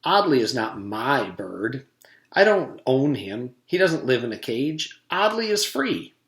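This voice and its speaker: A man's voice: mid-range voice, very animated, slow, fairly bright tone, roomy sound, exaggerated voice. A man voicing an earnest schoolboy who longs to be free, plaintive and insistent, in a storybook reading.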